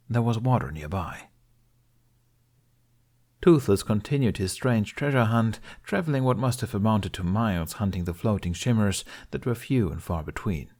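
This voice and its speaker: A man narrates a story in a low voice with lively pitch, expressive and measured. It sounds like a podfic reading. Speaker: podfic reader.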